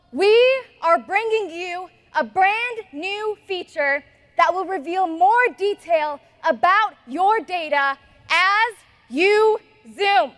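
A young woman from Ethiopia, a native Amharic speaker, giving a lively product demo on a large conference stage. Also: extremely high pitch. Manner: lively, upbeat presenter. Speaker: software engineer presenting.

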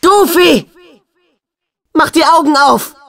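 A man's voice: very high voice, slow pace, bright, forceful tone, heavily acted. An actor voicing an earnest, hot-headed and loyal teenage boy hero in a video game, with a youthful, emphatic delivery. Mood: urgent, angry. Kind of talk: dubbed video game acting.

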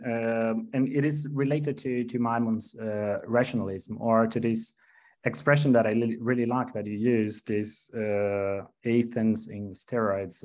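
A man's voice: mid-range voice, darkish tone. Polite young man asking a seminar question. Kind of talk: academic question over video call. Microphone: laptop webcam video call.

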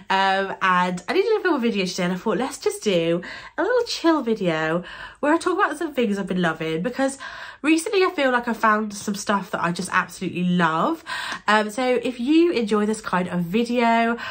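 A young British woman chats excitedly to camera, her voice thick and nasal from a cold. She gushes and laughs often as she talks. She sits close to the camera in a small bedroom. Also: fairly high pitch. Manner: bubbly, gushing, laughs often. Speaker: beauty and fashion YouTuber. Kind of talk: chatty product-review vlog. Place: bedroom, seated on a sofa, indoors.

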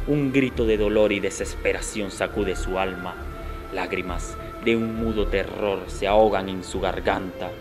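A man giving dramatic scripted narration for a radio soap opera. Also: fairly low pitch. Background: prominent music.

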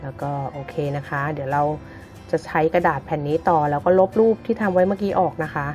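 The low-pitched woman you'd hear on a hobby craft channel, walking you through each step while music plays along. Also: fast, darkish tone. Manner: informal big-sister address to viewers. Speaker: craft tutorial host. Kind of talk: casual step-by-step tutorial narration. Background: background music.

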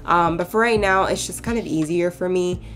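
A young woman chatting casually over her game build, her voice a bit hoarse and cracking, rambling into playful asides. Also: on the low side, normal speed. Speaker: sims builder YouTuber. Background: soft background music.

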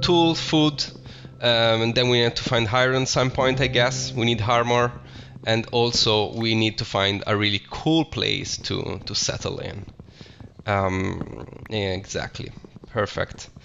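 Italian-accented guy narrating his gameplay, gravelly voice, chatty and excitable, slightly muffled audio with background music. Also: mid-range voice, a little slow. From Italy. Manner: chatty, self-correcting, enthusiastic about the game. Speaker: Minecraft let's play host. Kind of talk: casual gameplay commentary.

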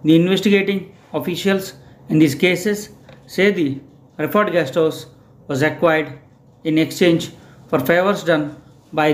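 Man reading slow shorthand dictation. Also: on the high side. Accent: Indian English. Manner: measured phrase-by-phrase dictation. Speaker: shorthand instructor.

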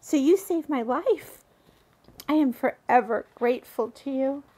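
A woman's voice: high-pitched, lively intonation, a little slow, warm tone. A woman playing a gullible, effusive customer who trusts her psychic completely, in an audio drama. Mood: grateful, gushing. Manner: earnest, effusive.

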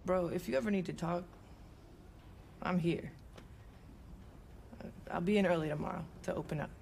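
A woman's voice: on the low side, normal speed, gravelly, darkish tone. An actress playing a young American woman, a loyal street racer and garage worker who talks in casual slang. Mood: relaxed, friendly. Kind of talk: video game voice acting, casual speech. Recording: background ambience noise under the voice.

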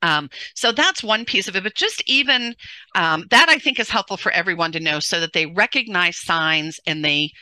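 A middle-aged woman speaking as a parenting coach in a relaxed interview, with a loud, forceful and very bright voice. She gestures animatedly and often restarts her sentences. She is on a headset from home, and the sound is close and dry. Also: fairly low pitch, moderate pace, no room sound. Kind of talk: casual interview conversation. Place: home room, indoors. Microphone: headset on a video call.

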